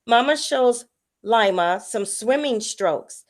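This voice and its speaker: Slow-reading woman with a higher voice. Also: lively intonation. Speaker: children's story reader. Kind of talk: picture-book read-aloud for children.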